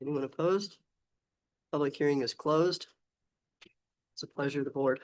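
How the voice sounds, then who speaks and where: A woman chairing a county commission meeting, hoarse and losing her voice, moving things along with brisk procedural phrasing. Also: deep voice, a little mellow, rough recording.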